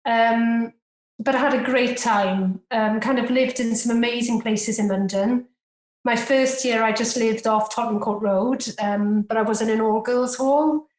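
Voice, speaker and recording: A Welsh woman in her forties tells her own story on a remote podcast call. Her first language is Welsh. She is chatty and self-deprecating, with plenty of fillers, and her slightly higher voice sits in a roomy, echoing space. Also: steady tone, unhurried, darkish tone. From Cardiff, Wales. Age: 40s. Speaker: podcast guest. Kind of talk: casual interview chat. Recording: roomy echo.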